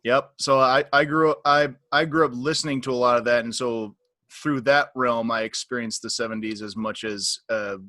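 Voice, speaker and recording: Thirtysomething guy, bright tense voice, dry sound, kinda halting. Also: medium pitch, steady tone, no room sound. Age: 30s. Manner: hesitant, repeats words as he starts. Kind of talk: casual chat on a video call.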